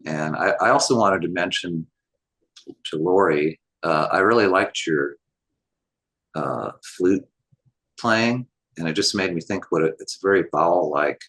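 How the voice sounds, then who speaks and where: A man's voice: low-pitched, a little slow, a bit bright, husky. A man sharing in a group call, talking in a loose, rambling way with many asides. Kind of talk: casual chat.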